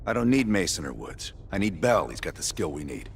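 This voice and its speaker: An actor playing a gruff, calculating, cool-headed veteran spy team leader in a video game, speaking in terse, dry, measured lines. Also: male voice, big pitch swings, slight background noise. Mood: firm, commanding. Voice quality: low gravelly voice. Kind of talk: cinematic game dialogue acting.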